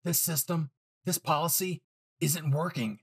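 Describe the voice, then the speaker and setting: Man acting as Deku, higher-pitched pleading young hero. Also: unhurried, gravelly, breathy edge, exaggerated voice. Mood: earnest. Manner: pleading, earnest. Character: earnest, pleading young male hero, anxious and principled. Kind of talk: anime fan audio drama.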